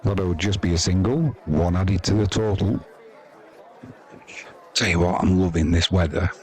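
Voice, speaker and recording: A man's voice: low voice, unhurried, a little mellow, some chatter behind. A man giving casual cricket commentary as a live stream host, chatty and rambling in an informal way.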